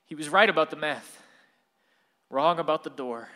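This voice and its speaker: A man's voice: fairly high pitch, moderate pace, sharp tone. An actor in a radio play playing a blunt male news reporter delivering grim bulletins. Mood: blunt, bitter. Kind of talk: radio play narration as newscast. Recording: long hall reverb.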